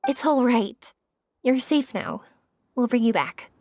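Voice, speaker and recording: An actress playing a kind, reassuring young woman in a video game, speaking gently and encouragingly. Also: fairly high pitch, lively intonation, slow pace, no echo, full character voice. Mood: gentle concern. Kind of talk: English video game voice acting. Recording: music behind the voice. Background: game background music.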